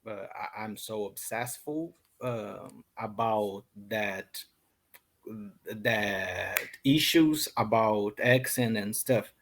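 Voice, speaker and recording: Slow, halting Brazilian man. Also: on the low side, a bit bright. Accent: Brazilian Portuguese. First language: Portuguese. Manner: hesitant, many ums and restarts. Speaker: English learner. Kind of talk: casual online lesson chat. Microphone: video call.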